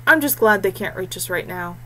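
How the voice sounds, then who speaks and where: A woman's voice: mid-range voice, fairly quick. A woman voicing a tired, earnest young male heir and fighter in a fantasy audiobook. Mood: weary. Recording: clear steady background noise and hum.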